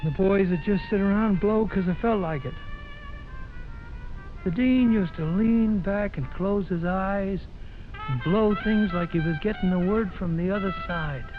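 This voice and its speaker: An actor playing a wistful, slangy jazz pianist in a vintage radio drama, reminiscing in plain, drawn-out phrases. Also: male voice, high-pitched, music in the background. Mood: wistful, reflective. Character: wistful, slangy male jazz piano player. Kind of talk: 1940s radio drama acting. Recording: tinny old radio broadcast.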